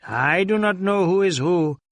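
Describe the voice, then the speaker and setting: A man's voice: high-pitched, animated, unhurried, no room sound, voice pushed up. A man voicing a poor, weary and fearful Indian newspaper vendor who answers tersely and evasively in an audiobook story. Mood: sullen, indifferent. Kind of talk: audiobook character dialogue.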